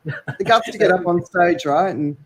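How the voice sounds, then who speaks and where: A woman's voice: on the low side, normal speed, low-quality recording. A middle-aged woman with an Australian accent, talking as a guest in an interview and recounting her career in an enthusiastic, rambling way.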